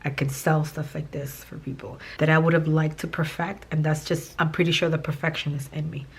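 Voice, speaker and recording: A woman with a hoarse, raspy voice from a recently lost voice, chatting casually and rambling a little while she plans, with many fillers and restarts. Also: low-pitched, level tone, brisk. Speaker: planning vlogger. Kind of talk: casual chat while planning.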